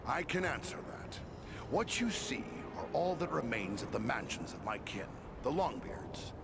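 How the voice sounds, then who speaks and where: A man's voice: mid-range voice, very animated, a little slow, creaky, heavy background noise. A man playing a proud dwarf warrior in a fantasy game, telling his tale wistfully. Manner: wistful, storytelling. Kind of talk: fantasy video game cutscene acting. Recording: loud music behind the voice.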